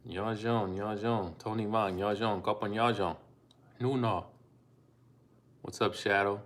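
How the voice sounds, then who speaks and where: Deep-voiced man chatting casually on a phone stream. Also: fairly even intonation, a bit bright. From Ohio. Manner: laid-back, unhurried, with frequent ums and asides. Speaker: livestreamer and language learner. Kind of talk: casual live-stream chat reading donations.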